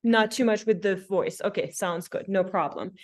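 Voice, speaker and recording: A woman's voice: medium pitch, moderate pace, a little mellow. A woman from France with a French accent, instructing a dance class in an encouraging way and often checking in with her students.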